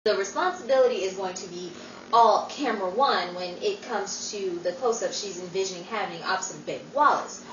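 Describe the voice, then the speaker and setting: A woman's voice: mid-range voice, fairly quick, far from the mic, natural voice. A woman playing a dry, sarcastic friend with cutting wit in a 1940s-style radio comedy, on a noisy, echoey recording. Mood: sardonic. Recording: noisy, dull, echoey room from afar.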